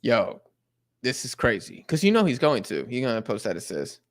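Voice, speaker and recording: A man's voice: medium pitch, moderate pace. A young man hosting a casual football watch-along live stream, reading chat messages and rambling in slang with lots of fillers. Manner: slangy and rambling, lots of fillers, sudden excited outbursts at the match. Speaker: live stream host reacting to a football match.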